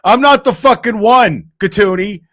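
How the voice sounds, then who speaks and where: A man's voice: sits high, normal speed, a bit bright. A man hosting a live show, commenting casually on a court hearing, laughing often, swearing freely and mimicking people.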